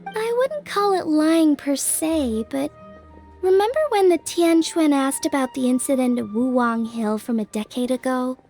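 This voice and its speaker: An actress voicing an earnest, thoughtful young woman in a fantasy video game, speaking in a measured, polite, slightly hesitant way. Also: high-pitched, darkish tone, soft background music. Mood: uneasy, pondering. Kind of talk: video game voice acting, conversational storytelling.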